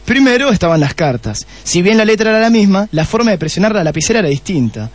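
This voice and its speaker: A man's voice: high-pitched, big pitch swings, no echo. A man playing a smug, theatrical police detective with an Argentine accent in a comedic radio drama. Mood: self-satisfied. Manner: self-satisfied, explaining with dramatic pauses.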